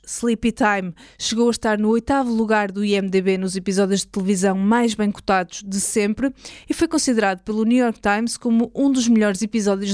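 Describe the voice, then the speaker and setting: A woman's voice: mid-range voice, darkish tone, no echo, clean studio sound. A woman with a European Portuguese accent hosting a podcast, reading a scripted documentary narration. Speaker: podcast host.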